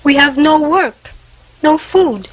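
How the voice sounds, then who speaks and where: A woman's voice: sits high, lively intonation, slow pace, rough recording. A woman playing a distressed young nun in an amateur stage play, pleading plaintively with her superior. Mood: plaintive worry.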